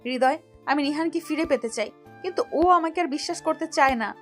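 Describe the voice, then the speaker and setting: A woman playing a lovesick, remorseful younger sister in an audio love story, speaking softly and earnestly in a pleading way. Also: sits high, a little fast, soft background music, lightly acted.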